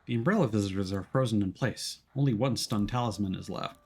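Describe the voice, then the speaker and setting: A man's voice: on the low side, fast, darkish tone, breathy edge. A man streaming a video game, moving between casual commentary and reading story narration aloud.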